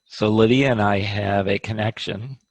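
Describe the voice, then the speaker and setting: Low-voiced male interviewer, dry sound. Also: fairly even intonation, normal speed, dry recording. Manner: brief prompts and encouraging murmurs. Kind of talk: interview questions.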